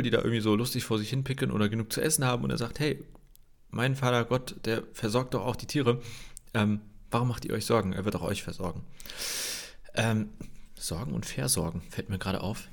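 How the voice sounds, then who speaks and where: A man with a low, gravelly voice and a slightly nasal, stuffy sound from a cold. He hosts a relaxed devotional livestream, rambling between Bible readings with plenty of fillers and self-corrections. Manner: loose and rambling, many fillers and restarts. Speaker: host of a daily Bible livestream. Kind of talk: casual devotional livestream talk.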